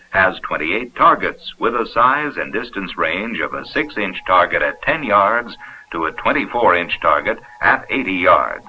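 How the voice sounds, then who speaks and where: An American man reading scripted narration for a 1970s instructional film in a folksy, upbeat, explanatory manner. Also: deep voice. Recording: dull, noisy vintage 16mm film soundtrack.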